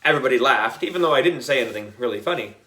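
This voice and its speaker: Male children's audiobook reader: playful and exaggerated, very lively pitch, bright delivery. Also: very fast. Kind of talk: animated children's story narration.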